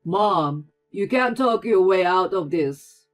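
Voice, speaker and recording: An angry, protective husband confronts his mother, stern and loud, as a woman narrator puts him on. The voice stays close to the teller's own pitch, pushed hard with force. Faint music plays under the story. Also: slow, close to an everyday voice.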